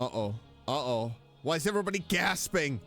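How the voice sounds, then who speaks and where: A man streams a game and reacts in a casual, playful way. His voice is on the higher side and very lively in inflection, full of muttered, repeated asides. Faint game music plays behind him. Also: brisk. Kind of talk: casual gameplay reaction commentary.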